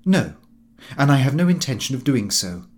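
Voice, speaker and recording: A man's voice: mid-range voice, big pitch swings, moderate pace, darkish tone, lightly acted. A man voicing a pompous, easily offended middle-class husband from a comic diary, speaking stiffly and formally. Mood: stiff refusal.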